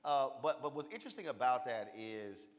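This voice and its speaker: A man's voice: mid-range voice, lively intonation, brisk. A man from Texas, moderating a stage panel in a loose, teasing Southern manner. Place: stage in a large hall. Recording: reverberant hall sound.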